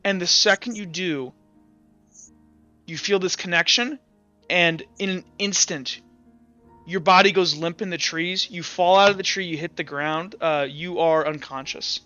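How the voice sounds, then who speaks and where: A man running a tabletop roleplaying game as game master, improvising dramatic narration haltingly and swearing casually. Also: high-pitched, sharp tone, lo-fi sound, faint music behind.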